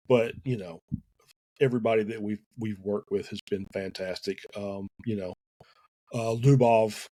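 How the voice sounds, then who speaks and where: A man with a Southern US accent, a guest in a casual podcast interview, talking in halting phrases with many fillers and restarts. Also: on the low side, poor audio. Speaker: guest, sim racing team co-owner.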